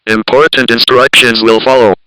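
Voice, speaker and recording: A man's voice: medium pitch, flat delivery, normal speed, pushed and bright, clear, resonant tone, dry recording, lightly acted, rough recording. A man playing a stern, formal emergency alert announcer in an audio drama, giving clipped, commanding instructions. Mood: grave, urgent.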